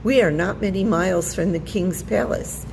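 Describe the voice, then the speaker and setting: A woman voicing a sly, smooth-talking male fox villain in a children's storybook, with a coaxing manner. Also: fairly low pitch, normal speed, a little mellow, in a large hall, a little hiss or hum, rough recording. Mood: scheming. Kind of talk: children's storybook character reading.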